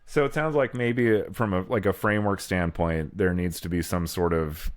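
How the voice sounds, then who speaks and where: A man co-hosting a podcast, talking casually and haltingly as he thinks out loud. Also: low-pitched, no room sound, studio quality. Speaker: podcast co-host. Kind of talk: casual chat.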